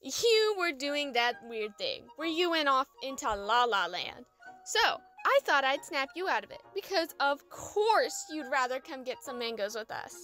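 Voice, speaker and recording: Audio drama little dragon, female actor: excitable, perky, bright, bouncing melody. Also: high-pitched, unhurried, faint music behind, full character voice. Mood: bright, teasing. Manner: perky, teasing. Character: bubbly, excitable small young female dragon.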